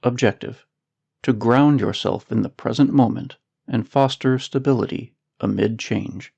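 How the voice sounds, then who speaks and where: A man narrating a scripted guided meditation in a soothing, measured, unhurried manner. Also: mid-range voice, creaky, darkish tone, husky.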